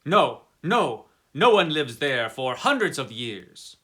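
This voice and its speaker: A man's voice: mid-range voice, big pitch swings, slow pace, pushed and bright, exaggerated voice. A man voicing a frightened, superstitious coachman who speaks broken English. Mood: fearful. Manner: broken English, fearful and urgent.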